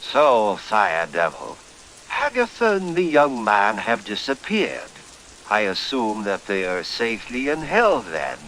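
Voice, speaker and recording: A man voicing a suave, scheming gentleman barrister in a horror radio play, eloquent, formal and theatrical. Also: lowish voice, huge pitch swings, unhurried, slight background noise, exaggerated voice, poor audio. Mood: smug, gloating. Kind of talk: old-time horror radio play acting.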